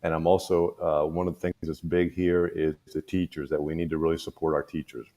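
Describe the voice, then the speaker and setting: A man in his sixties, born in Cuba, talking about himself as a school board candidate in a relaxed way, with many pauses and fillers. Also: rumbling low. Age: 60s. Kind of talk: casual panel chat.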